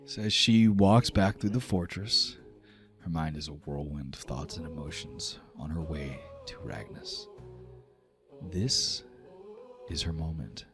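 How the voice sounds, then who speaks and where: A man's voice: rumbling low, a little slow. A man narrating a fantasy tabletop game dramatically as game master. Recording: music behind the voice.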